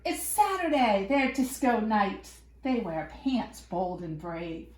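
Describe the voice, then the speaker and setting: A woman reading a picture book aloud to young children in an expressive, playful way with dramatic emphasis. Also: mid-range voice, slow. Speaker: children's storyteller. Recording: echoey room.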